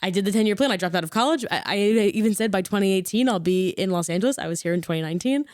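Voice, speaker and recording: A woman in her twenties, an actor and comedian, telling her story in a rambling, self-correcting way, growing animated when excited. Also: medium pitch, rapid-fire, no echo, studio quality. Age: 20s. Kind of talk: casual interview chat.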